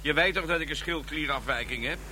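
An actor playing a heavyset, complaining police detective with a grumbling manner in a radio drama. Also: male voice, on the high side, brisk, pushed and bright. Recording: clear background noise, vehicle ambience.

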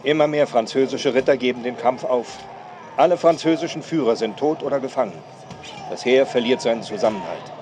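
A man's voice: mid-range voice, brisk, over music, close to an everyday voice. An actor playing a solemn male witness reporting from a battlefield in a radio drama. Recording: clear outdoor noise behind.

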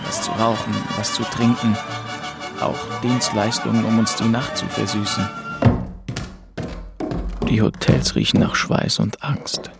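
Young male lead: lowish creaky voice, subdued and weary first-person audio drama narration, music underneath. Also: level tone, a little slow, long pauses. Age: young adult. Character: weary, bitter young French man of twenty-two, narrating.

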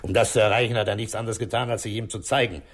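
Low-voiced, commanding police commissioner played by a man. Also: steady tone, lightly acted. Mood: stern, official. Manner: deliberate, authoritative, explanatory. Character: methodical male police commissioner, calm and commanding. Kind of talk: 1960s German radio crime drama acting.

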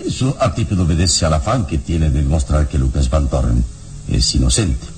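Radio-drama hero and mentor: bass-deep, velvety dark, measured, serene and reassuring. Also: male voice, slight background noise. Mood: grave, thoughtful. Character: calm, solemn, wise male adventurer hero, protective mentor. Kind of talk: dramatic radio serial acting.

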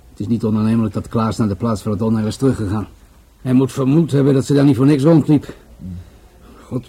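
A man acting in a radio play as a musing, world-weary police commissioner who speaks deliberately. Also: on the low side, dark tone, husky. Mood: pensive.

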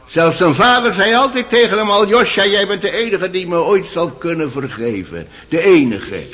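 A man's voice: high-pitched, expressive, normal speed, a bit bright. An actor playing an old male servant on the witness stand in a radio drama, hesitant and easily indignant. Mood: reminiscing. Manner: rambling, hesitant, indignant when challenged. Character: excitable old male servant testifying in court.

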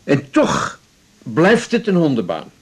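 Blunt sympathetic man, husky, swooping intonation, colloquial, halting, radio drama. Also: fairly high pitch, huge pitch swings, exaggerated voice. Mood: frank, sympathetic. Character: blunt, probing, sympathetic man questioning a young doctor. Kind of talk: naturalistic radio drama dialogue.

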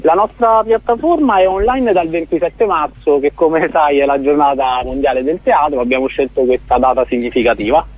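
A man's voice: fairly high pitch, normal speed, hardly any pauses, no echo. A man, a startup founder, explaining his project earnestly as a guest in a radio interview. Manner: explanatory, earnest, with frequent restarts. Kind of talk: radio interview over the phone. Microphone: phone. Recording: tinny dry phone line.

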